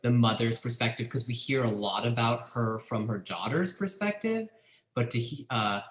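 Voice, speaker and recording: A man's voice: mid-range voice, animated, moderate pace, a bit bright, roomy sound. A man in an audience discussion on a video call, chatting with lots of "like". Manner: chatty, heavy use of "like". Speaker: audience member. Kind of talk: casual Zoom discussion.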